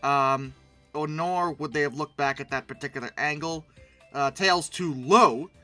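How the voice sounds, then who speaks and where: A host giving casual, humorous commentary on pictures, rambling through asides and breaking into animated, mock-outraged exclamations. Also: medium pitch, measured pace, soft background music.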